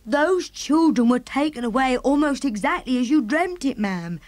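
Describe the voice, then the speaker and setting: A woman's voice: high voice, lively intonation, a little mellow, dry recording, pitched above the performer's own voice. A woman doing a flustered middle-aged maid. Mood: shaken. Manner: flustered, respectful, quick to fret. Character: middle-aged female maid, nervous and deferential.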